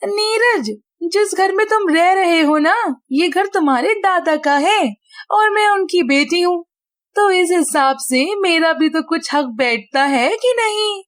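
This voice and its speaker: A woman voicing a greedy, pushy older aunt in a cartoon story, with drawn-out, emphatic delivery. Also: very high voice, no room sound, studio quality. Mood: demanding. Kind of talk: animated moral-story dialogue.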